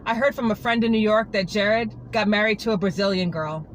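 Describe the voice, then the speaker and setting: Heartbroken anchorwoman pouring her heart out to a coworker, earnest and pleading, middle pitch, as a reader puts her on. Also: steady tone, brisk, slight background noise, natural voice. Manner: earnest, pleading, confiding to a colleague. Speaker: monologue reader. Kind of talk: dramatic monologue reading.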